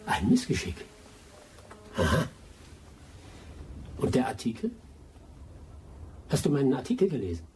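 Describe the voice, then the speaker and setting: An actor playing a bitter, vengeful adventurer in a German radio drama, brooding and accusing. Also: male voice, medium pitch, wildly swooping pitch, a little slow, long pauses, darkish tone, slight background noise. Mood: cold suspicion.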